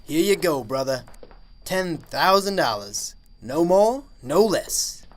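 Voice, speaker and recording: Man acting slow-talking, sly small-town preacher. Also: fairly high pitch, expressive. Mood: sly, smooth. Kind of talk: amateur radio drama acting.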